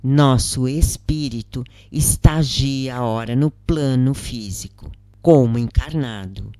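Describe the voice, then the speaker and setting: A Brazilian woman voicing a gentle, wise elderly mother in a story, soft, soothing and solemn. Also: very deep voice, no room sound.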